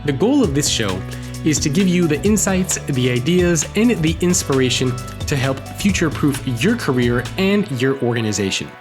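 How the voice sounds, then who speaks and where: A man's voice: on the high side, animated, moderate pace, over music. A man hosting a podcast, reading a scripted intro and then interviewing his guest in an upbeat way. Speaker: podcast host.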